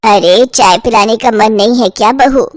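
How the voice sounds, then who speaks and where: A woman's voice: on the high side, moderate pace, no room sound, pitched below the performer's own voice, natural voice. A woman voicing a demanding middle-aged mother-in-law with a nagging, sarcastic manner. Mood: impatient. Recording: background music under the voice.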